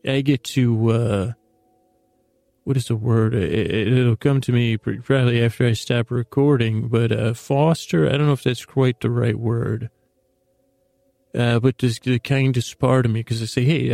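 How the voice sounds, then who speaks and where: Male podcast host, middle-aged, gravelly fry, halting lulling bedtime rambles, dry intimate recording. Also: medium pitch, a little mellow, no room sound. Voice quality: gravelly, creaky. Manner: meandering, halting, repeats and trails off; lulling, soothing, friendly tones. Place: small closet full of clothes, indoors.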